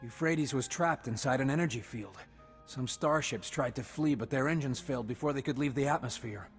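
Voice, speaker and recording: Man playing a grim medium-pitched ship captain. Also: brisk, faint music behind, natural voice. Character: grim, weary male frigate captain. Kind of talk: video game cutscene acting.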